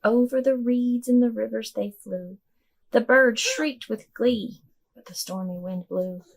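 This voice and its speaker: A woman reading a rhyming children's storybook aloud to a child in an expressive, sing-song rhythm. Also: fairly high pitch, unhurried, vocal fry. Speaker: storybook reader talking with a child.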